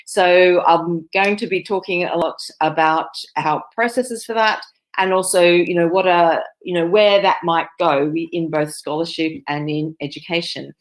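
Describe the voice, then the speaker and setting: A woman's voice: fairly low pitch, fairly even intonation, a little slow. A woman, a nursing professor giving a conference presentation over a video call, with many fillers and restarts. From Australia. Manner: fillers and self-corrections.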